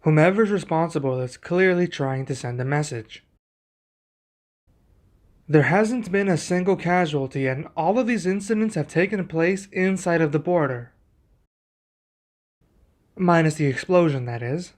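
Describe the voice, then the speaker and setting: A man playing a young king and protective husband in an ASMR roleplay, speaking in a formal, measured, deliberate way. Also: on the high side, lightly acted. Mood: pensive, measured.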